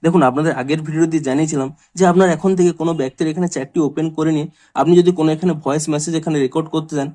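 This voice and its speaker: A man presents a tech how-to in a somewhat high voice. He talks at a racing pace, linking one instruction to the next in long, repetitive sentences. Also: fairly even intonation, very fast, mellow. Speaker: tech tutorial YouTuber. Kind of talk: step-by-step screen tutorial narration.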